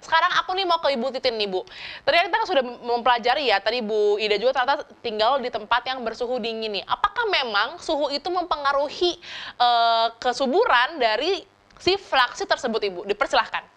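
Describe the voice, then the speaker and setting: A woman hosting a talk show, chatty and lively, with many small tag words. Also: a little high, fairly quick. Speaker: host.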